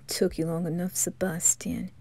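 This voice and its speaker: A woman voicing an anxious young noble boy in a fan audio roleplay, speaking in a hushed, stammering whisper. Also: on the low side, flat delivery, measured pace, breathy edge. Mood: weary.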